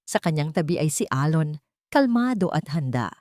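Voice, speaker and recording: A woman's voice: deep voice, big pitch swings, normal speed, a little mellow, no room sound. Filipino woman narrating drama, close dry sound. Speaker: story narrator.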